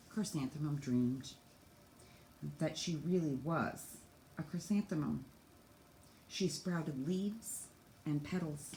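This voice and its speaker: A woman with a lowish voice narrates a children's story expressively, as a teacher would. The room is echoey, with faint noise in the background. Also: a little mellow. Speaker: teacher reading to students.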